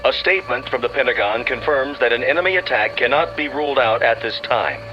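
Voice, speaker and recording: A man's voice: mid-range voice, brisk. An actor playing a grave, formal male news announcer heard through a radio in a drama. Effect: radio filter. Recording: muffled radio speaker, music under.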